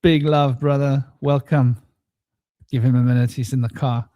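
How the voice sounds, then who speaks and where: A man hosting a casual spiritual round-table chat, rambling and relaxed, with a somewhat droning voice and many fillers. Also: medium pitch, normal speed, no room sound, dull audio. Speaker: host. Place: home studio.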